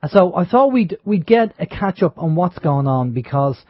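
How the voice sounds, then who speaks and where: An Irish man hosts a talk radio show in a fairly high voice, rambling along with lots of ums and restarts. The sound is dry and a little dull, with no room around it. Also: sing-song, normal speed, no room sound. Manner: rambling, many fillers and restarts. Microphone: studio microphone. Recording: dull, lo-fi internet radio audio.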